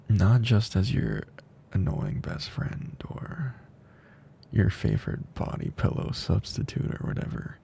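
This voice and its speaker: Man acting a gravelly, tender male best friend. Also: lowish voice, slight background noise, full character voice. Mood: devoted, heartfelt. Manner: soft, breathy, slow, drifting into whispers. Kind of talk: intimate ASMR audio roleplay, soft and breathy.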